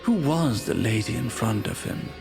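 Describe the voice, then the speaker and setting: A man playing a knowing storyteller, narrating a dark visual novel tale in a deliberate, musing, theatrical manner. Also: on the low side, animated, vocal fry, over music. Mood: detached, ominous. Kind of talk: scripted dramatic narration.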